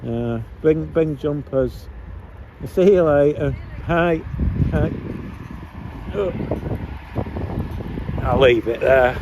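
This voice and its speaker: A man with a cold rambles to himself while filming outdoors on a chilly street. His voice sits a little high, and loud traffic noise runs behind him. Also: very slow, pauses often. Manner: chatty, mutters to himself. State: has a cold. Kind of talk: rambling casual chat to camera.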